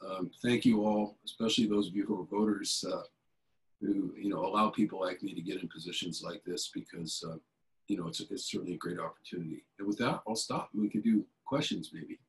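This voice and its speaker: A man's voice: sits low, a little fast, low-quality recording. A middle-aged man speaking on a video call from a home office, answering questions in a rambling way with many fillers and restarts. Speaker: keynote speaker, elected official. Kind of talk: conversational video-call interview. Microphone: video call webcam.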